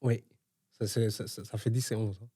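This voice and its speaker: A man's voice: fairly low pitch, level tone, moderate pace, dark tone, husky. A man co-hosting a podcast and running a guessing game, teasing his partner and laughing often. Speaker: podcast co-host.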